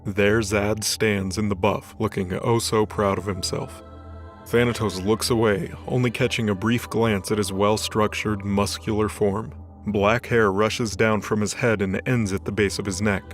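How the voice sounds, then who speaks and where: Male narrator, lowish pitch, scripted audio-drama storytelling over a music bed. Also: steady tone, measured pace. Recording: soft background music bed.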